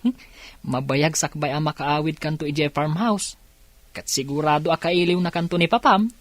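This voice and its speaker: A woman's voice: low voice, normal speed, dry recording. A woman playing a friendly, helpful young cousin in a radio drama. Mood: friendly, curious. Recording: faint music behind the voice. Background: faint music.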